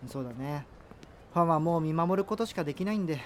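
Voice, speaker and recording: Man as fast, high-pitched grumbling teenage boy. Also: natural voice. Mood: reluctant. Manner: grumbling, casual banter. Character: gloomy, contrary teenage high school boy in radio drama. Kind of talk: radio drama dialogue. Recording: faint background noise and ambience.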